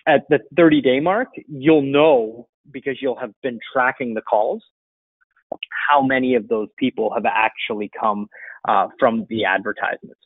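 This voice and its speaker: A man's voice: mid-range voice, animated, moderate pace, dry recording. Middle-aged man hosting webinar, dull lo-fi sound. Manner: informal, conversational, explanatory. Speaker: webinar host and marketing coach. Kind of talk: casual webinar question-and-answer. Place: indoor room, seated at a computer. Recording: dull, dry lo-fi webinar audio.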